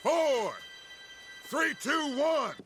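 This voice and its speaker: Commanding outlaw leader, high-pitched, animated. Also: male voice, extremely slow, music in the background, heavily acted. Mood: menacing. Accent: American. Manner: theatrical, oratorical, persuasive. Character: commanding, charismatic middle-aged male Western outlaw gang leader. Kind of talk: dramatic video game cutscene acting.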